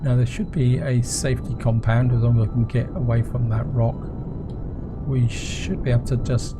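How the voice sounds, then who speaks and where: Brief: male gameplay host, croaky with a cold, relaxed chatty commentary, middle pitch. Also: normal speed. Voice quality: croaky, gravelly voice. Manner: chatty, addresses viewers as guys. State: hoarse, sore throat. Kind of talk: casual let's-play commentary. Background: game music.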